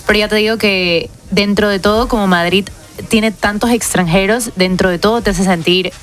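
A young woman from Ecuador, speaking warmly and gratefully on a radio show. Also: medium pitch, fairly even intonation, moderate pace. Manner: warm, grateful. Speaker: radio contributor.

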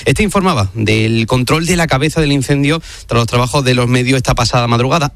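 A man's voice: mid-range voice, no echo. Male radio reporter, dry close sound. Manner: hesitant fillers, conversational reporting. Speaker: field reporter.

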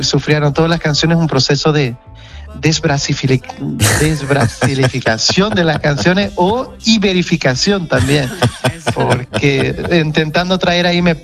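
A man's voice: medium pitch, creaky. Man, portuguese accent, reflective guest, frank chat, light music under. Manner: frank, reflective, self-correcting. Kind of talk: casual interview chat.

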